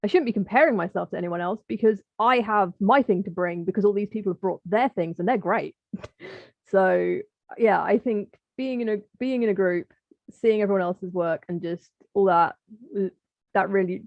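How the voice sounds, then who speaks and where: Woman guest chatting about her writing, lots of vocal fry, dry slightly muffled audio. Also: medium pitch, expressive, normal speed, no echo. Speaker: podcast guest, writer. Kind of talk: casual chat, reflective.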